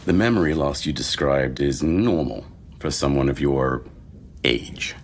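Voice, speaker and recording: A man playing a sardonic doctor in his fifties, deadpan and wry, in a screenplay reading. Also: measured pace. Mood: dry, explaining. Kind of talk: screenplay table read. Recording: faint low rumbling noise.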